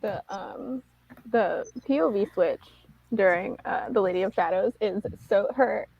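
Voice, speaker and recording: A woman's voice: mid-range voice, measured pace, creaky, husky, lo-fi sound. A woman talking casually as a co-host in a book discussion. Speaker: read-along co-host. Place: online live video chat.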